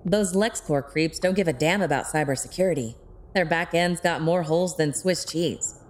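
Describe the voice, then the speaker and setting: Woman as lowish-voiced sassy hacker sidekick. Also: moderate pace. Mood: blunt, questioning. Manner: casual, wry, blunt. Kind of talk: audio drama acting.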